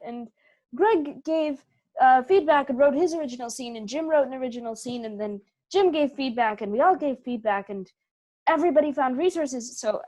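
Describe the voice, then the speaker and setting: A woman's voice: high-pitched, darkish tone. Woman chatting casually, thinking aloud. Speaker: interview guest, theater company member. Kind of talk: casual interview chat. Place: remote video call.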